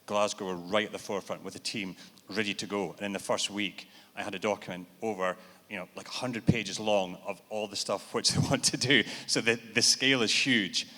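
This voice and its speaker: A man's voice: lowish voice, fairly even intonation, fairly quick, bright, forceful tone. A man answering questions on a conference panel in a businesslike, explaining way. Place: large event hall. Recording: reverberant hall.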